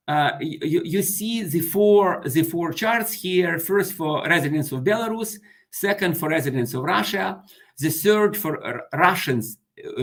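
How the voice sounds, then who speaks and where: A man presenting research findings in an academic talk, halting often with fillers and repeated words, English not his first language, Ukrainian native. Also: on the high side.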